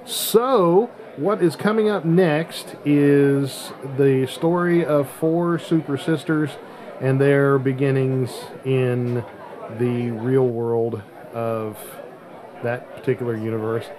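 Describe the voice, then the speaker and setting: A man's voice: mid-range voice, fairly even intonation, slow pace, darkish tone, a faint crowd. A man hosting a podcast in a casual, conversational style. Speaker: podcast host.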